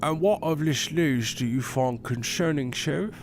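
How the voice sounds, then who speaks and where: A commanding royal advisor from an audio drama, voiced by a man. He speaks formally and with clipped authority, quick to put others in their place. A clear music bed plays under him. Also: on the high side, natural voice. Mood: stern, authoritative. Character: stern male royal advisor, proud and commanding.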